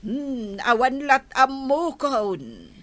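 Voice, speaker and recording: An actress in an Ilocano radio drama playing a mocking, giggly young gossip with an Ilocano accent and a drawn-out, teasing delivery. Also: female voice, high voice, sing-song, a bit bright, exaggerated voice. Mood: needling. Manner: drawn-out, teasing, breaks into laughter. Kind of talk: Filipino radio drama acting.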